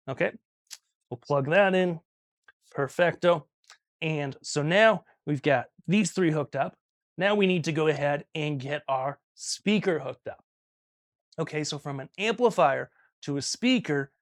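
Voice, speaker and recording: A man's voice: on the high side, dry recording. A man presenting a practical tutorial in a casual, step-by-step way, often checking in as he explains, recorded in a small studio. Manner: casual, instructional, frequent "okay" check-ins. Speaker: audio instructor and video host.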